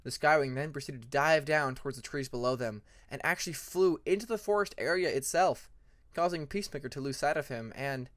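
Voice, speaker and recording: A narrator reading fan fiction aloud in an expressive audiobook style. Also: mid-range voice, fairly quick.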